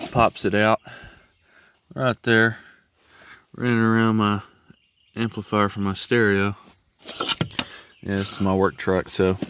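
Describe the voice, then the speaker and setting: A man's voice: fairly low pitch, a little hiss or hum. A man giving a casual, hands-on video walkthrough in a laid-back, folksy way. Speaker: hobbyist vlogger showing a truck install.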